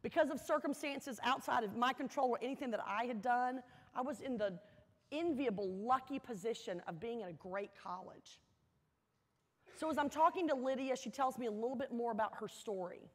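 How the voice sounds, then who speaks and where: A woman gives a motivational storytelling speech to an audience, earnest and emphatic, with dramatic pauses and repeated phrases. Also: fairly high pitch. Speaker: motivational speaker at a university welcome event. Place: large indoor hall, addressing an audience. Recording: echoey large hall with long reverb.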